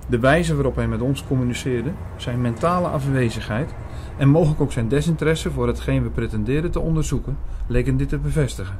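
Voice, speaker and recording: A man playing an earnest priest, narrating an audio drama in a clear storytelling manner. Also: medium pitch, brisk, hardly any pauses, a little mellow, a little hiss or hum, close to an everyday voice. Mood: earnest, watchful. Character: earnest male priest recounting his investigation.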